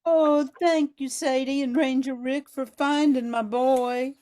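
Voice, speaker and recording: Woman acting as worried mother, very high voice, slow delivery, radio drama. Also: fairly even intonation, darkish tone, soft background music. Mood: grateful. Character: worried, loving mother of a lost boy.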